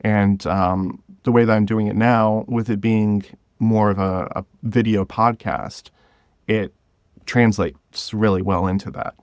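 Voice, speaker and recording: A man speaking as a podcast guest, explaining things informally and pausing to gather his thoughts. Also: on the low side, fairly even intonation, darkish tone, no echo. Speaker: podcast guest, drama creator. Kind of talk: conversational interview.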